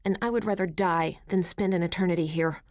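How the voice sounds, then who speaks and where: A woman's voice: lowish voice, fairly quick, darkish tone, close to an everyday voice. A woman playing a resolute, anguished heroine in a video game, dramatic and earnest. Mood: anguished resolve.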